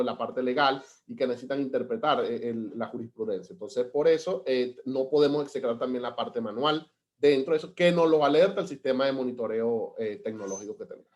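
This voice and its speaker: Venezuelan male presenter, webinar on a video call, halting delivery with frequent restarts. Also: mid-range voice, a little mellow, lo-fi sound. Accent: Venezuelan Spanish. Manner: hesitant, many fillers and restarts. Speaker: government data analyst presenting.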